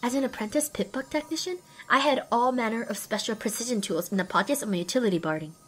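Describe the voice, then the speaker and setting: A woman's voice: a little high, fast talker, a little hiss or hum, close to an everyday voice, low-quality recording. A young woman voicing an earnest, self-deprecating young female pony apprentice, narrating an audio drama expressively and wryly. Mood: awed, excited. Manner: expressive, wry. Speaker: lead and first-person narrator.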